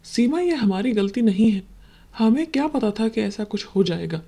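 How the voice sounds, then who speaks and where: A woman's voice: a little high, lively intonation, moderate pace, dark tone, husky. A woman voicing an earnest, protective husband and father who consoles his family in a horror cartoon story. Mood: consoling.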